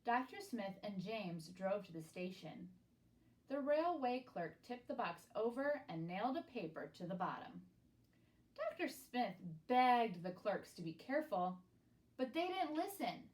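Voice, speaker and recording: Female storybook reader, mid-pitched, slow pace, expressive and deliberate phrasing. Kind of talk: expressive read-aloud of a children's picture book.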